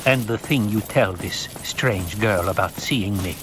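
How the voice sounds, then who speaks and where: A man voicing a stern, probing old woman of a religious order, solemn and deliberate, in an audiobook. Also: fairly low pitch, background noise, natural voice.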